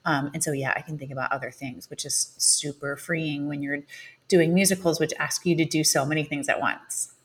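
A woman's voice: low voice, fairly even intonation, normal speed, slightly rough. A woman in her thirties, a stage actress speaking as a podcast guest, thoughtful and searching, with many fillers. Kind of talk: casual podcast interview.

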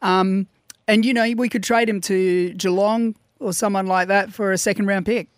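Australian male sidekick, high voice, dry studio sound, blunt and cheeky. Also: fairly even intonation, normal speed, clean tone, dry recording. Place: radio studio.

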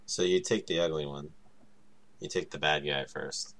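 A man with an extremely deep voice jokes and explains riddle steps to his friends in a laid-back way. His low tone still has a bright, forward edge. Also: fairly even intonation, normal speed. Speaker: co-player. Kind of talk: casual chat over a game.

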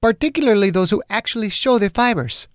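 A man voicing a polite but assertive woman doctor with a measured, professional manner in an audiobook. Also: high voice, animated, no echo, clean studio sound, pitched above the performer's own voice. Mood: insistent, restrained. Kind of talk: audiobook character dialogue.

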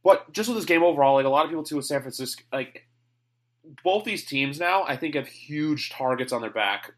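A man's voice: a little high, animated, a little fast. A man hosting a sports podcast in casual chat, rambling and opinionated. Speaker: podcast host.

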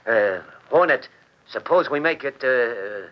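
An actor playing a gruff, greedy racketeer boss in a 1940s radio crime drama, with slangy gangster talk. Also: male voice, mid-range voice, lively intonation, slow pace. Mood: wary, bargaining. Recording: muffled old 1940s radio broadcast.

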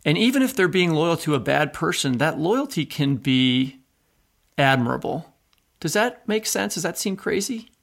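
A man's voice: a little high, lively intonation, normal speed, creaky. A man co-hosting a podcast, talking casually about books and thinking aloud as he makes his case. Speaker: podcast co-host. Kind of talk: casual literary discussion.

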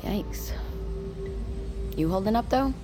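An actress playing a friendly, easygoing and supportive crew member, casual and self-deprecating, in an audio drama. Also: female voice, medium pitch, animated, takes it slowly, music in the background. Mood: concerned, supportive. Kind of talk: naturalistic audio drama acting.

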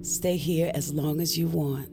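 An actress playing a secretive, intense female spellcaster in an audio drama, speaking in a deliberate, wry and ominous way. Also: sits low, steady tone, gravelly, over music. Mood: grave, resolved.

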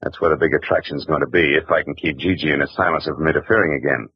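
A husky hard-boiled private eye. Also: male voice, extremely low pitch, fairly even intonation, racing, no room sound, heavily acted. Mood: wisecracking. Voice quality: husky, clipped, tough-talking. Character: hard-boiled male private detective, wisecracking. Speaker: narrator and lead. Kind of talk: 1940s radio acting. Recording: dull old radio broadcast.

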